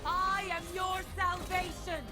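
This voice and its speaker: A woman's voice: very high-pitched, flat delivery, takes it slowly. A woman playing a proud, righteous winged warrior, cold and judgmental, in solemn declamatory video game lines. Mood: righteous, commanding. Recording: music behind the voice.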